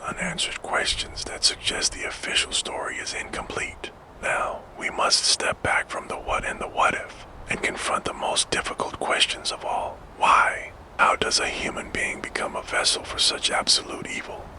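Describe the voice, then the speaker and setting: A man narrating true crime in a soft, fully unvoiced ASMR whisper, slow and measured, close to the microphone. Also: rough recording.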